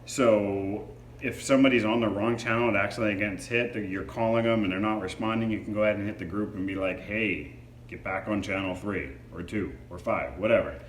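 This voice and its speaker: A man's voice: lowish voice, brisk. A man reviewing a product in a casual, hands-on demo, explaining features informally. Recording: echoey room with faint background noise.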